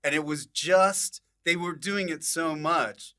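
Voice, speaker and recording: A man hosts a casual comedy podcast in a bright, forceful voice. He banters conversationally and drops in short interjections as he goes. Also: a little high, takes it slowly. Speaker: podcast host.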